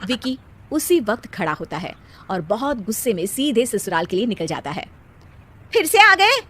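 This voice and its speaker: A woman's voice: medium pitch, expressive, normal speed, slightly rough. A woman narrating a scripted children's story.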